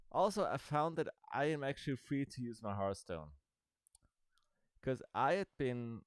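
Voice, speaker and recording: A man's voice: mid-range voice, smooth, no echo. A man giving casual, rambling commentary over a game he is playing, with dry, sarcastic asides. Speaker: gaming video creator.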